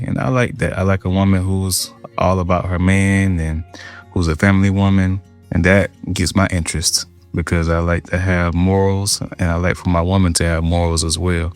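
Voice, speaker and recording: A man speaks in a low, deep voice. He talks earnestly and heartfelt, repeating phrases for emphasis. Soft music plays under him. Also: clean tone. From Georgia, USA. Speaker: interviewee. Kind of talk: interview, personal story. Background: background music.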